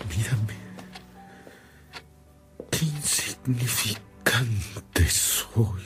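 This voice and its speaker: An actor playing a humble, tormented missionary priest in a radio drama, speaking slowly and solemnly. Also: male voice, on the low side, animated, a bit bright, soft background music, full character voice. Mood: anguished, self-reproaching. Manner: slow, solemn, anguished.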